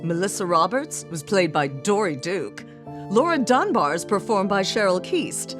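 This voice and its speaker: A woman's voice: medium pitch, big pitch swings, normal speed. A woman announcer reading a scripted radio drama outro and credits. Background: music.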